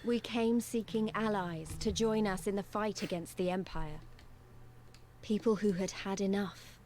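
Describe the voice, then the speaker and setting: A solemn woman, played by an actress, narrates a fantasy game scene in a reflective, dramatic way. Faint background noise sits behind her mid-pitched voice. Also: steady tone, a little slow, darkish tone, close to an everyday voice. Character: solemn woman narrator recalling war and hardship.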